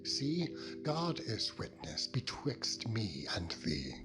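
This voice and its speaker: A man's voice: on the low side, wildly swooping pitch, slow pace, exaggerated voice. A man voicing a possessive, solemn biblical father-in-law in emphatic, dramatized scripture oratory. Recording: music bed behind the voice.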